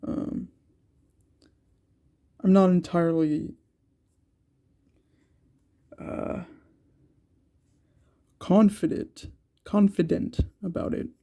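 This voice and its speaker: Man, young, congested, gravelly voice, dark tone, halting speech. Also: high-pitched, fairly even intonation. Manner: hesitant, many ums and repeated words. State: congested from a cold. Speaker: art channel creator. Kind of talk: casual art vlog narration while sketching.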